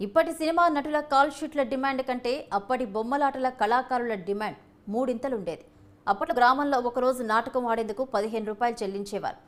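A woman reading scripted narration for a documentary-style news feature. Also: fairly high pitch.

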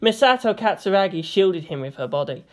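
Casting: female narrator for fan fiction audiobook, mid-range pitch, dramatic storytelling. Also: lively intonation, brisk.

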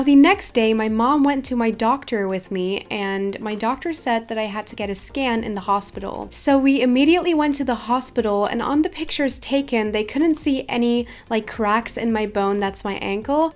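Chatty teen girl. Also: fairly high pitch. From Belgium. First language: Dutch. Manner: chatty, repeats herself, frequent "you know". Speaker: gaming YouTuber. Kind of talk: casual story time over gameplay.

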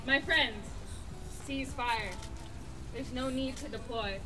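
Very high-voiced young woman reciting, from afar. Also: slow, sharp tone. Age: young adult. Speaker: youth climate activist and spoken word poet. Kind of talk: spoken word poetry at a rally. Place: outdoor rally stage under a canopy. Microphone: stage microphone at a podium. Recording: distant outdoor PA, music behind.

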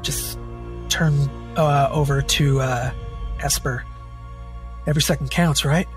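Higher-voiced man playing a tabletop roleplaying game. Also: steady tone, slow, soft background music. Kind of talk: casual in-character roleplay and describing actions.

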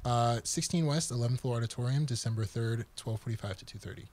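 A young man hosting a college podcast, reading the week's events in a relaxed, dry way in a small studio. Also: medium pitch, quick pace. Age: young adult. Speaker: host. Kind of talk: casual chat and reading event listings. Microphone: studio mic on a stand, headphones.

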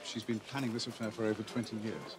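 A man's voice: fairly low pitch, fast, music in the background, lightly acted. An older man with an English accent playing a courteous aristocrat in a period film.

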